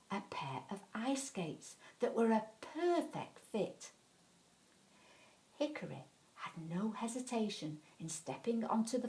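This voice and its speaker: A woman reads a bedtime story for children. Her voice sits at a medium pitch. She tells it expressively, stressing key words for drama. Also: darkish tone, poor audio.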